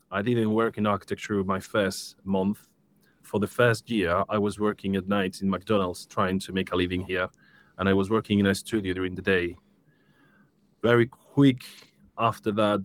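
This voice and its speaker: Spanish guy in his 30s, kinda low voice, chatting easy on a stream interview. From Spain, Seville. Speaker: guest, interior design studio director. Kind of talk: conversational interview answers on a live stream.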